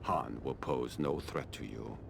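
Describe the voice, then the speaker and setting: An actor playing a stern, calculating Chinese army colonel in a video game, speaking in a formal, deliberate, haughty manner. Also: male voice, rumbling low, creaky, noisy background, exaggerated voice. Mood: measured, imperious. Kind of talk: scripted video game dialogue.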